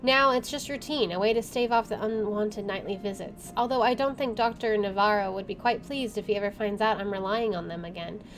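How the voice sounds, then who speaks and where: A woman's voice: mid-range voice, brisk, hardly any pauses. A woman playing a visual novel, reading the story aloud and dropping in casual asides. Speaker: game player, channel host.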